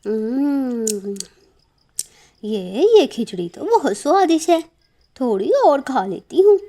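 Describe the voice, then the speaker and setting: Greedy lazy female crow, acted by a woman, high, soft-toned, swooping, scheming cartoon voice. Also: lively intonation, takes it slowly, exaggerated voice. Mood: sneaky.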